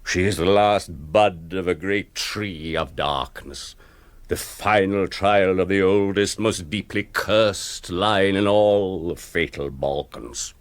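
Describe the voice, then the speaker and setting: A man's voice: deep voice, takes it slowly, dry recording. An actor playing a brooding, aristocratic old vampire count and father in a radio play, solemn and portentous. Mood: doom-laden, brooding.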